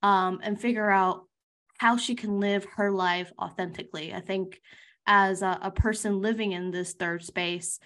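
A woman's voice: mid-range voice, fairly even intonation, measured pace, a bit bright. A woman who hosts a podcast and works as a life coach, introducing her guest and asking questions in a friendly, conversational way. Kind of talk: casual interview hosting.

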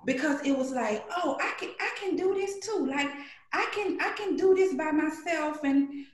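A middle-aged woman from Alabama with a Southern accent, telling stories in an animated, playful way as a guest on a podcast. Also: high-pitched, moderate pace, roomy sound. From Alabama, USA. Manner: animated storytelling, playful, acts out voices in quotes. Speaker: guest, grocery shopper. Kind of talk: casual podcast conversation.

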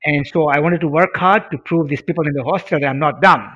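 A man's voice: a little high, fast, a bit bright, husky. A middle-aged man from Tanzania, a native Swahili speaker, telling a personal story in an interview in an earnest, emphatic way, repeating phrases for effect. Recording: dull, lo-fi sound.

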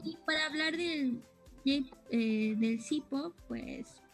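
Young woman with a high voice, relaxed conversational co-host who searches for words. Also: slow pace, faint music behind. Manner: chatty, searches for words, trails off. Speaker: co-host explaining anime terms. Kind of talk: casual chat.

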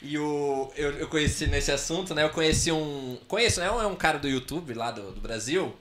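A man's voice: fairly high pitch, brisk, fairly bright tone. Chatty host man in a roomy space. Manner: chatty, rambling storyteller. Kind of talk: casual interview chat. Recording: roomy echo.

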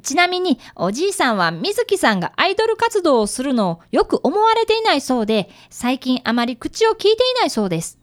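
Polite little schoolboy narrating a detective radio drama, high voice, super lively and earnest. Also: female voice, a little fast, few pauses. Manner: very polite, formal speech.

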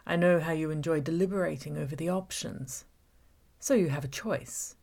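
A woman's voice: deep voice, moderate pace, vocal fry, darkish tone. A woman voicing a taunting, manipulative male villain in an audiobook, gloating and mock-reasonable. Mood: gloating menace. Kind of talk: audiobook character voice.

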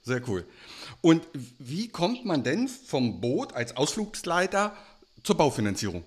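Male podcast host, casual questions, short affirming replies, slightly high and very lively pitch. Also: measured pace, breathy edge.

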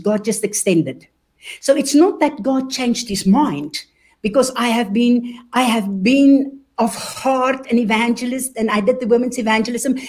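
A woman's voice: fairly high pitch, darkish tone. Earnest South African woman evangelist. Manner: earnest, fervent storytelling. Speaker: evangelist guest. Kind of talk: interview testimony.

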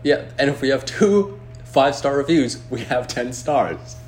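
A man's voice: mid-range voice, lively intonation, unhurried, darkish tone, faint music behind. Bantering man in casual podcast chat. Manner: playfully argumentative, bantering. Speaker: podcast co-host. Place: room while traveling. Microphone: handheld phone.

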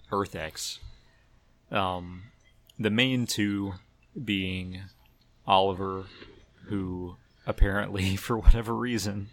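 A man's voice: low-pitched, creaky, fairly bright tone, dry recording. A man hosting a podcast, chatting casually and conversationally as he recaps TV episodes. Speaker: podcast host. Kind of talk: casual chat about TV episodes.

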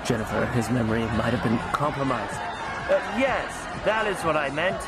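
A man's voice: medium pitch, very animated, moderate pace, over a noisy crowd, natural voice. A man playing an earnest, grandiose self-styled space captain in an audio comedy, speaking in a theatrical, commanding way. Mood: eager, insistent. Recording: voice under very loud music.

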